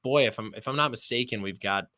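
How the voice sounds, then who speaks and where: A man's voice: mid-range voice, fast, bright, forceful tone. A man speaking as a sports announcer guest in a conversational podcast interview, talkative and emphatic.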